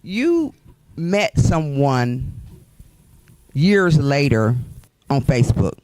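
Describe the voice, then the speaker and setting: A host of a live stream interview, probing and steering the guest with short prompts. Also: male voice, a little high, very animated, drawn-out words, a little mellow, no echo.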